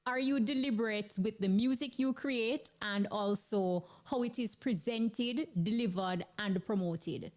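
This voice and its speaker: A woman's voice: fairly high pitch, a little mellow. Woman radio host asking questions. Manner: short affirmations, measured questions. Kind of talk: interviewing.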